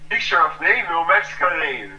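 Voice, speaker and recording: Guy, bright high voice jumping all over, choppy english, muffled far-off echoey call. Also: lively intonation. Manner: halting, repeats words, non-native English. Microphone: video call. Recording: dull, echoey distant call audio.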